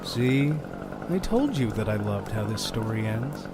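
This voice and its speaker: A man playing a sardonic, sinister innkeeper who narrates grisly horror tales in an audio drama, with dramatic pauses. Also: lowish voice, big pitch swings, very noisy. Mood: sly, musing. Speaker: storytelling narrator in character. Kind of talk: theatrical horror anthology narration, shifting to wry asides.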